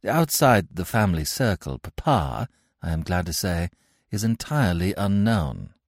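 A man's voice: low voice, very animated, moderate pace, no room sound, studio quality, pitched above the performer's own voice, heavily acted. A man voicing Gwendolen, a haughty young society woman who talks in a stiff, formal, condescending way. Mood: grand. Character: haughty young society lady, polite but cutting.

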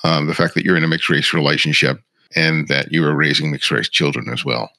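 A man from Los Angeles asks questions and trades personal stories with his guest. His voice is very low and relaxed in pace. The recording is close and dry. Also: creaky, no room sound. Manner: unhurried, sharing personal stories. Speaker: interviewer. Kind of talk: conversational interview.

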